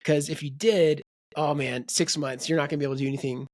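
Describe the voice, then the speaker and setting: A man's voice: on the high side, fast talker, no room sound, studio quality. A man co-hosting a book podcast, rambling in casual chat and quoting people in voices. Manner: rambling, animated, quotes people in character voices. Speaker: podcast co-host.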